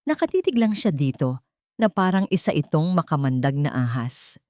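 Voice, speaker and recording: Low-voiced Filipino woman narrating drama. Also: sing-song, normal speed, a little mellow, no room sound, studio quality. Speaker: audiobook narrator. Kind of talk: dramatic scripted story narration.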